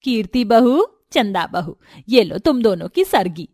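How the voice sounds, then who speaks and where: A woman voicing a kindly middle-aged mother-in-law in a family story, with a gentle, motherly manner. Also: a little high, big pitch swings, unhurried, no echo, clean studio sound, exaggerated voice. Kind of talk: Hindi family storybook voice acting.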